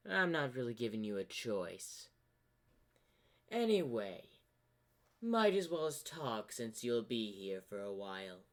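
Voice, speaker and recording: A man's voice: medium pitch, big pitch swings, a little slow. A man voicing an ancient corrupted zebra spirit from a fan-made fantasy cartoon, smug and sly, with a theatrical, teasing drawl. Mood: smug, casual.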